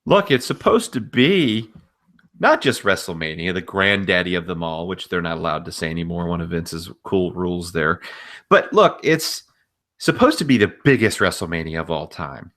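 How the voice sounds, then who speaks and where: A man's voice: low-pitched, sing-song, normal speed, a bit bright. A man co-hosting a podcast, giving emphatic, opinionated commentary on wrestling in a casual conversational style. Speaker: podcast co-host.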